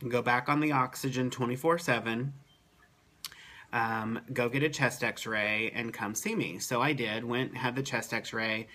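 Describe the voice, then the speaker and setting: A man speaking at an everyday middle pitch, recounting his health update in a plain, matter-of-fact way. He talks casually to the camera, pausing often with ums and uhs. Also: bright, forceful tone. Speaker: vlogger giving a health update. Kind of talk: casual first-person account.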